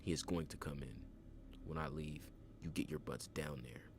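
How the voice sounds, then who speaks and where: A man with a very low voice reading a protective mother, frightened yet firm, handing out urgent, direct orders. The recording carries audible noise. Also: huge pitch swings, moderate pace, exaggerated voice. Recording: clear background noise.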